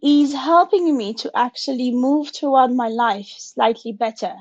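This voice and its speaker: A woman's voice: sits high, a little slow, a little mellow. A woman in her forties, a native French speaker, talks through her answers in English with a slightly raised voice. She hesitates and restarts often, searching for words. The recording sounds dull and muffled. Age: 40s. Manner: thoughtful, hesitant, with restarts and non-native phrasing. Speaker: guest. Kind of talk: conversational interview.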